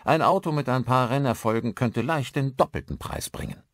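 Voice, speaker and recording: A man's voice: medium pitch, a little fast, no room sound, clean studio sound, pitched above the performer's own voice, natural voice. A man playing a polite, inquisitive newcomer to a village in an audiobook, speaking casually.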